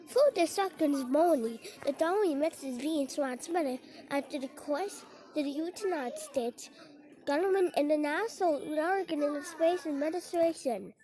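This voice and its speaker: A young-sounding amateur creator reads a mock emergency alert announcement, stumbling and garbling words in a halting way, on a homemade recording. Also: high voice, mellow. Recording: noisy homemade recording with clicks.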